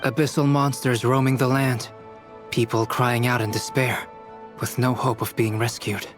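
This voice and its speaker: An actor playing a composed, analytical young scholar in a fantasy game, speaking formally and precisely. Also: male voice, mid-range voice, flat delivery, normal speed, natural voice. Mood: calm, grave. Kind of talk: scripted game dialogue. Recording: music clearly under dialogue.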